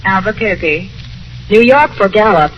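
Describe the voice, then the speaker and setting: 1940s radio telephone operator, woman actor: businesslike, measured, polite, strongly melodic intonation on a noisy old recording. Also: medium pitch, lively intonation, dull audio, lightly acted. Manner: formal, measured, singsong procedural delivery. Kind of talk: 1940s radio drama acting.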